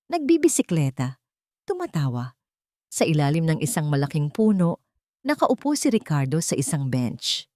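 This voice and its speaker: A woman giving an expressive, sentimental scripted narration as the storyteller of a romantic drama. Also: sits low, no echo, studio quality.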